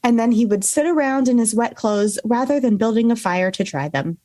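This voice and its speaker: High-voiced woman podcaster. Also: expressive, brisk, dark tone, no echo, studio quality. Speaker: podcast co-host. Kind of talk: storytelling from notes with casual banter.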